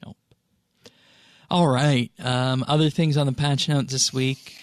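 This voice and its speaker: A man's voice: mid-range voice, flat delivery, slow pace, clean tone, no echo, studio quality. A man hosting a podcast, casually going through game patch notes in an explanatory, conversational way. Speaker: podcast host.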